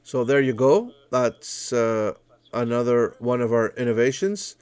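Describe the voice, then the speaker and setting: Slow, clear-voiced male teacher. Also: medium pitch, expressive, a little mellow, no echo. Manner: walks through a demo step by step. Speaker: college language teacher presenting. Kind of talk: online presentation with screen demo. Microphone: video call microphone.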